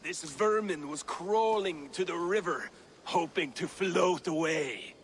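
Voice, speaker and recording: An actor playing a hot-headed, boastful warrior who barks harsh, scornful lines. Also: male voice, on the high side, takes it slowly, slight background noise. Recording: game cutscene over music.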